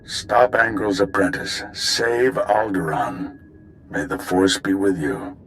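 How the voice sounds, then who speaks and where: An actor playing an older, resolute mentor knight in a video game, speaking tersely with weary resolve. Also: male voice, low-pitched, slow, a bit bright, a little hiss or hum. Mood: resolute, solemn. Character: resolute older male Jedi mentor, gruff and fond. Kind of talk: video game cutscene acting.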